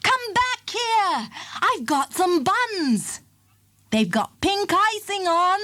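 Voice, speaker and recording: A woman's voice: on the high side, very animated, normal speed. Woman voicing a man from the circus, polite and apologetic. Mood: calling. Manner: calling out, then apologetic and polite.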